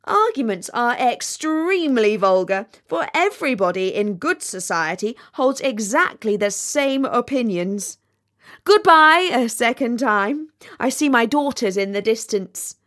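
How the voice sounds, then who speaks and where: A woman's voice: fairly high pitch, expressive, a bit bright, voice pushed up. A woman voicing a chatty, self-satisfied little male frog in a storybook, gushing and complacent. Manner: gushing, complacent, talks without pause. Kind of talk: storybook character voice.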